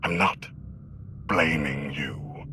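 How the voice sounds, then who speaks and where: An actor playing a power-hungry galactic tyrant in a video game, speaking with menacing, imperious drama. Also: male voice, extremely low pitch, drawn-out words, a little hiss or hum, heavily acted. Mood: cold anger. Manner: menacing, imperious, swelling from hushed to forceful.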